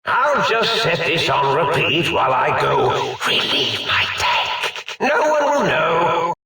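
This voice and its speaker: An actor voicing an eccentric, absent-minded mad scientist in a video game, a comically villainous figure who rants and rambles in a theatrical, grandiose way. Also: male voice, on the high side, huge pitch swings, slow pace, bright, forceful tone, very echoey, distant mic, faint music behind, heavily acted. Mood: distracted muttering.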